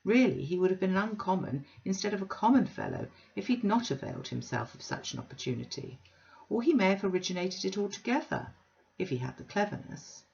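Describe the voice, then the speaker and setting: A woman reading a worldly young gentleman's lines in a languid, ironic drawl. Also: mid-range voice, very animated, fairly quick, vocal fry, dull audio.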